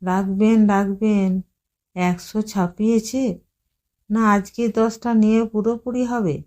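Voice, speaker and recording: A smooth, sweet-talking man who keeps asking his neighbour for money, voiced by a woman narrating an audio story. His voice is clear and crisp, his manner apologetic yet practised. The delivery stays slow and mellow. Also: medium pitch, fairly even intonation, lightly acted. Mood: wheedling. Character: smooth-talking male tenant, always borrowing. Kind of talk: read as quoted dialogue in an audio story.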